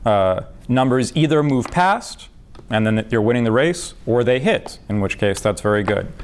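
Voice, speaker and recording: A man's voice: medium pitch, animated, moderate pace, clear, resonant tone. A man teaching backgammon, walking step by step through a position from one of his own matches. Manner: methodical, patient, with fillers. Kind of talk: explanatory game lesson.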